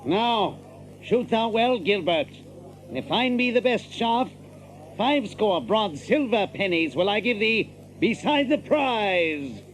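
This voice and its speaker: An actor playing a haughty, bullying lord in an audio drama, speaking pompous, archaic English. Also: male voice, sits high, lively intonation, takes it slowly, slight background noise, poor audio. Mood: eager, impressed. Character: haughty, bullying lord presiding over archery contest. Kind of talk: theatrical audio drama acting.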